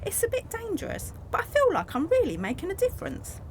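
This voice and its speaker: Woman playing high, excited secretive factory worker. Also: brisk, slight background noise, exaggerated voice. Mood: eager. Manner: confiding, eager. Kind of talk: amateur radio play acting.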